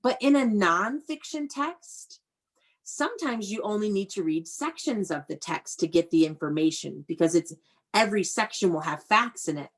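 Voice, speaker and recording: A woman's voice: medium pitch, expressive, moderate pace. A woman teaching young children in an interactive online read-aloud, enunciating key words and prompting them to repeat, recorded over a video call. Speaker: elementary school teacher.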